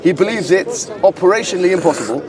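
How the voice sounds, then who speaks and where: A man's voice: high voice, lively intonation. Lecturing London man in outdoor debate. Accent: London English. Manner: argumentative, lecturing, quick and insistent. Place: outdoor public park with crowd. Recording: distant street recording with crowd around.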